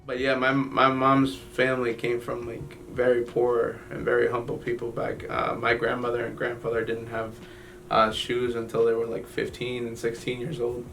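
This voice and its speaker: A young man from Guatemala telling his family's story in an interview, halting, with many fillers and restarts. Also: mid-range voice, completely flat delivery, creaky, a bit bright, far from the mic, soft background music, low-quality recording. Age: young adult.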